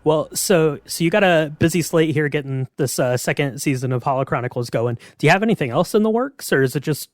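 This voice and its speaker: A man's voice: on the high side, lively intonation, a little fast, few pauses, no echo. Man hosting a casual podcast, dry sound. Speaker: podcast host.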